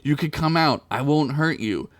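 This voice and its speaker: A man's voice: fairly high pitch, lively intonation, moderate pace, a bit bright, no echo. A man voicing a soothing, pleasant-sounding female centaur healer in a comedic story.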